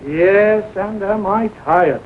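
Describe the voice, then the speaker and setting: A man playing a genial, weary middle-aged small-town doctor, brisk and wry, in a 1940 comedy film. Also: high voice, phone-quality sound. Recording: thin, noisy 1940 film soundtrack.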